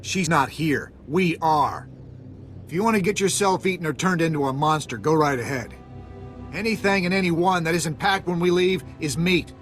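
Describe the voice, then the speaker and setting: A fed-up male expedition leader voiced by an actor with a slightly high voice. He is blunt and curt, in game cutscene style, with faint music behind. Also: moderate pace, a bit bright, lightly acted. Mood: defiant. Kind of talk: video game cutscene acting.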